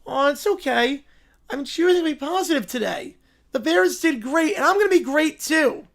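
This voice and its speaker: A man's voice: very high-pitched, expressive, normal speed, creaky, fairly bright tone, pitched above the performer's own voice, heavily acted. A man voicing a pleasant little brown bear who is relentlessly upbeat and positive. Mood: cheerful.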